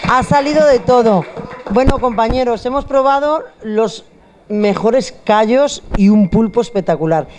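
A woman's voice: fairly high pitch, measured pace, a little mellow, slight background noise. A middle-aged woman, hosting a food show in a bar, chatty and joking, speaking into a handheld mic. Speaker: host. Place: bar with wine shelves, indoors.

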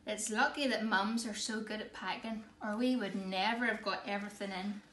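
A woman in her thirties reading a picture book aloud to children, expressive and emphatic, recorded in a living room. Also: medium pitch, moderate pace. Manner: theatrical, emphatic. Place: small living room, indoors. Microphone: camera or phone a short distance away. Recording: echoey room, faint background noise.

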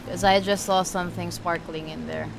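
A woman's voice: fairly low pitch, fairly even intonation, moderate pace, vocal fry, over music. A woman doing casual let's-play commentary, sweary and exclamatory, talking half to herself as she plays. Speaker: gaming video creator. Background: video game ambience sounds.

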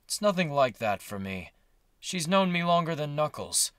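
A man's voice: a little high, sing-song, moderate pace, a bit bright, clean tone. A man playing an aloof, sardonic cartoon hedgehog rival, curt and dismissive, in a fan audio drama. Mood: dry, knowing.

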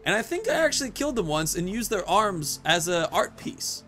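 A man's voice: sits high, sing-song, moderate pace, bright, forceful tone, a little hiss or hum. A man in his thirties giving casual, rambling commentary as he plays a video game, often thinking aloud and muttering asides. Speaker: video game streamer and host.

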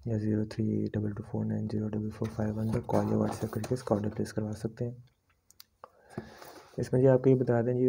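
A man with a Pakistani accent presenting a product unboxing as a shop's sales pitch, politely and in formulaic patter mixed with English words. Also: creaky, background noise. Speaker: online shop presenter.

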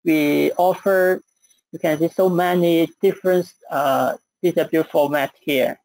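A man with a Chinese accent presenting software in a webinar, hesitant with frequent fillers. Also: on the high side, fairly even intonation, takes it slowly, vocal fry, no echo, low-quality recording.

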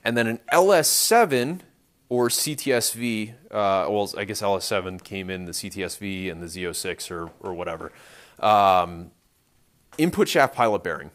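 A man's voice: fairly low pitch, lively intonation, normal speed, fairly bright tone. A man in his thirties talking casually and unscripted as a vlog host in a garage workshop, explaining technical things in an offhand, dryly joking way. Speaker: host of a car restoration vlog. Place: large cluttered indoor garage workshop.

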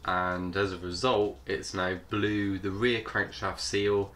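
A man with a cold, sounding hoarse, hosting his vlog in a chatty, hesitant way. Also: low voice, little pitch movement, takes it slowly, fairly bright tone. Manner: chatty, hesitant with many fillers. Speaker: channel host. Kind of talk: casual vlog intro.

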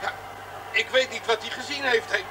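An actor in a radio drama playing an agitated, bewildered chauffeur who sounds defensive. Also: male voice, very high voice, fairly even intonation, normal speed. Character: flustered, defensive working-class male chauffeur reporting in. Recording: noisy old radio drama recording.

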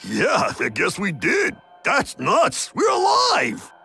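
A man's voice: very high voice, wildly swooping pitch, slow pace, creaky, pushed and bright, breathy edge, faint music behind, full character voice. A man voicing a big, anxious, dim bulldog cab driver in a game cartoon, folksy and blustering. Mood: relieved, excitable. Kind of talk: animated game cutscene acting.

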